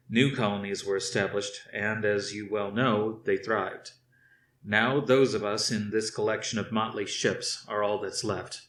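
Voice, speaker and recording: A man reading aloud, voicing a stern older fleet commander who speaks slowly and firmly to his officers. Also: lowish voice, a bit bright, reverberant, distant mic, slight background noise, lightly acted. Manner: slow, measured, commanding.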